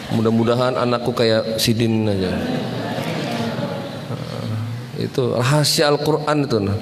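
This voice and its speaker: A man's voice: medium pitch, slight background noise. A man giving a casual, joking religious talk as a preacher, often mimicking regional accents. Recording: long hall reverb, faint ambience.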